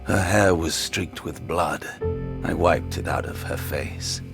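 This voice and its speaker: An actor playing a haunted, wounded detective, narrating a grim horror audio drama with grave intensity. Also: male voice, extremely low pitch, slow pace, creaky, full character voice. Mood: grieving, exhausted. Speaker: narrator and lead character. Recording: loud music bed throughout.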